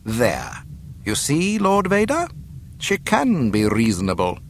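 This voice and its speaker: A man's voice: mid-range voice, wildly swooping pitch, takes it slowly, a little hiss or hum, voice pushed up, exaggerated voice. A man voicing a cold, smug imperial governor in a storybook reading, pompous, precise and mockingly courteous. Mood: coldly satisfied.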